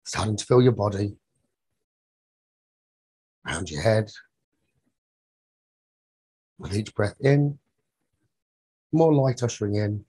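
A man leading a guided sleep meditation as a teacher, soothing and husky, speaking in short phrases with long pauses. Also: fairly low pitch, dark tone, no echo.

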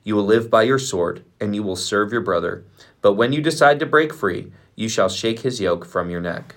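A man's voice: lowish voice, normal speed, close to an everyday voice. A man voicing an old, doubtful biblical father with a solemn, questioning delivery. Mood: grave.

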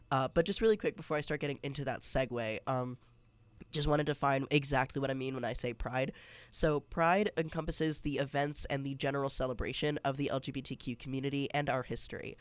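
Young male podcast host, congested, chatty and rambling, smooth clear tone. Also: mid-range voice, dry recording. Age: young adult. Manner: chatty, rambling, many fillers and restarts. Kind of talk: casual solo podcast.

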